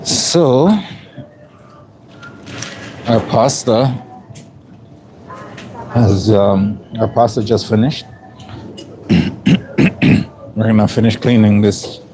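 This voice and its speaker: A man with a raspy voice casually narrating his work as a chef on a live stream from a commercial kitchen, clearing his throat often between ums. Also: lowish voice, drawn-out words, long pauses, a little hiss or hum, poor audio. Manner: relaxed play-by-play, frequent throat clearing and ums.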